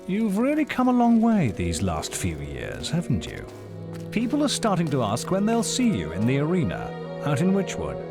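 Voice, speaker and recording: A seasoned mentor figure from a fantasy game. His mid-pitched voice swoops dramatically up and down with a knowing, familiar air, over clear background music. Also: huge pitch swings, normal speed. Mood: amused, impressed. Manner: theatrical, swooping intonation. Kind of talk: video game character dialogue. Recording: music behind the voice.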